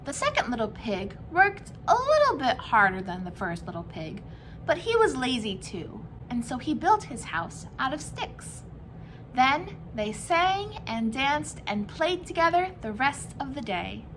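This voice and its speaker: A woman's voice: sits high, sing-song, unhurried, a bit bright, slight background noise, low-quality recording. A woman reading a children's story aloud as a storyteller, phrasing it expressively for young listeners.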